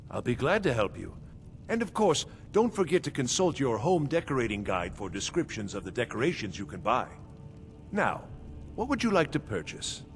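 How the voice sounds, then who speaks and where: Animated, creaky castle steward. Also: male voice, medium pitch, normal speed. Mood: obliging. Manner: polite, formal. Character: courteous, formal, fussy male castle steward. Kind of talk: video game character dialogue. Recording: long reverb, music behind.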